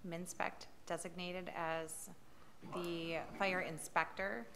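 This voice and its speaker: A woman's voice: lowish voice, little pitch movement, takes it slowly, creaky, a bit bright, a little hiss or hum. A woman on city staff giving a formal, matter-of-fact report to the council.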